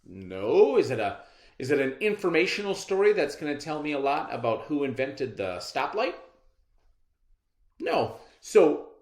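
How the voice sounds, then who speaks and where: A man leading a read-aloud lesson for children. His delivery is unhurried and extremely expressive, with rhetorical questions to keep listeners thinking. The room adds a clear echo. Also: mid-range voice. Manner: expressive, instructive, asks rhetorical questions with check-ins. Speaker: elementary school teacher. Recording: echoey room sound.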